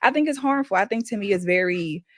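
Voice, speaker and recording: Calm reflective woman panelist. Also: mid-range voice, quick pace, no echo. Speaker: panel guest. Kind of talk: casual group discussion.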